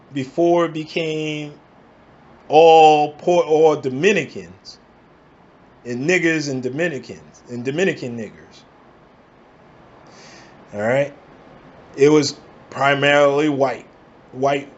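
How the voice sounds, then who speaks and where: A man from the Bronx, ranting in emphatic bursts and tossing in "all right?" to keep his listeners with him. His voice sits a touch higher than most men's. The recording is dull and lo-fi. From the Bronx, New York. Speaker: vlogger. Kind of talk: angry commentary rant.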